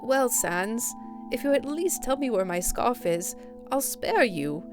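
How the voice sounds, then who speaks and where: A woman's voice: a little high, animated, a little slow, music in the background, pitched above the performer's own voice. A woman voicing a bold, theatrical younger skeleton brother who talks in a grandiose, formal, coaxing way, in an audio drama. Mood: firm.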